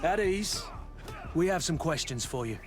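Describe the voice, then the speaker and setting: Blunt game guard captain, clipped orders, voice a bit high, music behind. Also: male voice, expressive, unhurried. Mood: commanding. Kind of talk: dramatic video game dialogue.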